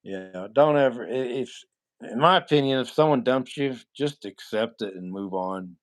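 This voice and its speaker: A man's voice: mid-range voice, moderate pace. Blunt nasal man in his forties. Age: 40s. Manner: blunt, rambling, swears freely. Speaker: livestream host answering chat. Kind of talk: casual live chat.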